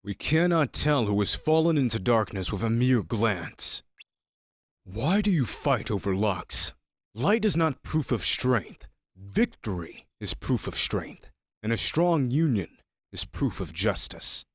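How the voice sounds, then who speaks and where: Man acting a stern faction leader, dry and expressive. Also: mid-range voice, measured pace, no echo. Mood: stern, imposing. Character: stern male faction leader preaching strength and victory. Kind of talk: anime game fandub acting.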